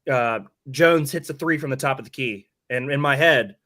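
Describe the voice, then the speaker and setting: Man, hoarse, mid-pitched voice, sports podcast host, casual, candid, mildly profane. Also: moderate pace, pushed and bright. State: hoarse from yelling at the game. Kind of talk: casual sports talk and game breakdown.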